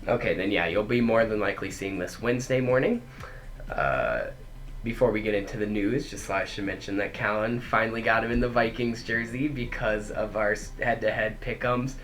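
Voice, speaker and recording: A man's voice: on the low side, steady tone, fairly quick, a bit bright, soft background music. A young man co-hosting a casual sports podcast, his voice congested from a head cold, chatting his way through a news rundown. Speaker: podcast co-host.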